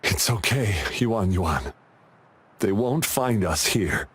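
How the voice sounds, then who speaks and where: A man's voice: sits low, lively intonation, slow pace, slightly rough, exaggerated voice. A man voicing a protective, weary father in a video game, with an earnest, dramatic delivery. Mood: gentle, troubled. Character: protective, weary father guarding his puppet daughter.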